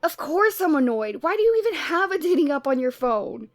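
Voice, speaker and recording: A lovestruck Cupid girl acted by a woman talks to her best friend in a fairly high voice. She is conversational and openly emotional, in the style of an audio roleplay. The sound is very dry, with no room around it. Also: brisk, no room sound. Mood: upset, exasperated. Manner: conversational, teasing, emotionally expressive. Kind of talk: ASMR roleplay audio drama, addressing the listener.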